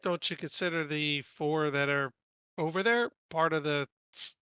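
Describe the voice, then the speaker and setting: A man with a fairly high, bright, clear voice chats casually as a player in a tabletop game. The recording is dry and slightly dull. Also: a little slow, dry recording.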